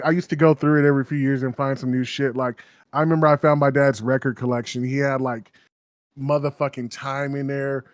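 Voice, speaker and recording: A man's voice: medium pitch, smooth, no room sound, clean studio sound. A man co-hosting a podcast, rambling through stories with lots of fillers and swearing. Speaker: podcast co-host. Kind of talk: casual chat.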